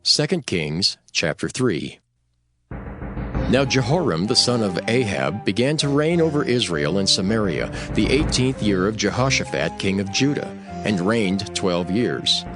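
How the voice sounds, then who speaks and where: A man's voice: fairly low pitch, very animated, unhurried, music in the background. A man narrating dramatized scripture in a formal, solemn storytelling manner.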